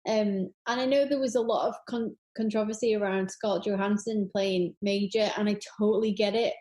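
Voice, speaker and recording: A woman's voice: medium pitch, steady tone, fairly quick, darkish tone. A woman co-hosting a podcast in casual chat, enthusiastic and repeating words for emphasis. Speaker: podcast co-host.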